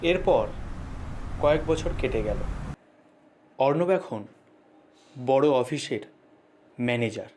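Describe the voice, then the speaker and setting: A man's voice: mid-range voice, expressive, slow pace, long pauses, darkish tone, slight background noise. A man narrating a scripted moral story as a storyteller. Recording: clear music bed with birdsong.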